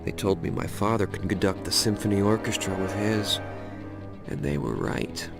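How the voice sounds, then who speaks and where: Male actor as young assassin antihero for game narration: cynical, cocky, crude, fry-edged delivery, music playing loud underneath. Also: fairly low pitch, little pitch movement, normal speed, close to an everyday voice. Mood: boastful, wry. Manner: sardonic, crude, direct address to the listener. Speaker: narrator and protagonist. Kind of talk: scripted video game narration.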